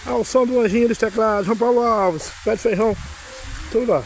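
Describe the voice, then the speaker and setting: A hoarse man, excitedly exclaiming as he films his farm outdoors in the rain on a phone. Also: high-pitched, fairly quick, slight background noise, low-quality recording. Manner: excited, exclaiming and singing along to music. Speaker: vlogger filming his farm. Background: music playing.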